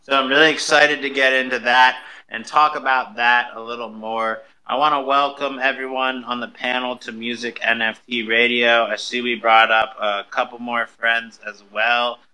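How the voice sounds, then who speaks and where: A man's voice: medium pitch, level tone, moderate pace, hardly any pauses, bright, forceful tone, poor audio. A man hosting a live online audio room in an upbeat, enthusiastic, chatty way. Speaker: host of an online audio show. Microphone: live social audio room call.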